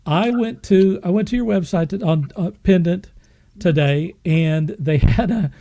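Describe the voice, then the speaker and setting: A woman hosting a podcast, asking questions in a casual, halting, measured way. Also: fairly low pitch, warm tone, no room sound. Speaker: podcast host. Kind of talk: casual interview chat.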